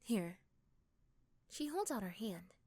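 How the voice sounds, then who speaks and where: Female narrator, high-pitched, for second-person ASMR storytelling. Close, dry recording. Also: lively intonation, moderate pace, no room sound. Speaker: narrator and voice performer. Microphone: close microphone.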